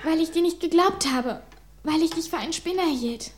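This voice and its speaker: A woman's voice: very high voice, normal speed. A young woman playing an earnest, headstrong teenage daughter, urgent and sincere, in an audio drama. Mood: apologetic. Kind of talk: German film audio drama dialogue. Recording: reverberant mix with loud music.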